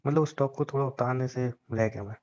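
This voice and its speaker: A man's voice: medium pitch, brisk, dark tone. Young man teasing on a dull phone line. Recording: dull lo-fi phone call recording.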